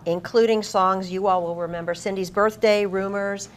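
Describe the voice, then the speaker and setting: A woman's voice: mid-range voice, fairly even intonation, moderate pace. Middle-aged woman, encouraging interviewer. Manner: encouraging, complimentary. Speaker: interviewer, host. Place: TV studio desk. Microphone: lapel mic.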